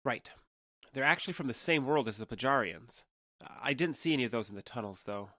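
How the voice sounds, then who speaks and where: A man's voice: medium pitch, animated, brisk, pushed and bright, dry recording. A man playing a young alien trader who passes as human in an audio drama, talking in a conversational, earnest and slightly hesitant way. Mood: earnest, explaining. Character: awkward, candid young male alien trader passing as human.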